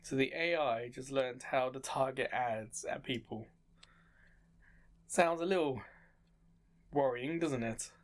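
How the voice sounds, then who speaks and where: A man's voice: medium pitch, takes it slowly, long pauses. A man giving casual commentary as the host of a video game playthrough. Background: game music.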